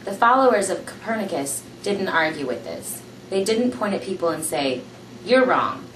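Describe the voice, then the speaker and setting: A young woman reading a novel aloud in a small room, in a plain, steady reading voice, recorded on a camera from across the room. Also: fairly low pitch, normal speed. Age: young adult. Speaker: amateur reader. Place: small room indoors, seated on a couch. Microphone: camera mic from across the room. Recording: roomy, distant camera audio with faint hiss.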